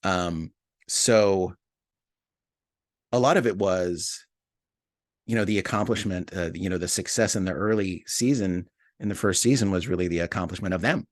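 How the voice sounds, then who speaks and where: A man's voice: low-pitched, normal speed, no echo, studio quality. A man speaking as an interview guest in a casual, reflective way, repeating and rephrasing his thoughts as he goes. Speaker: interview guest, podcast writer and producer.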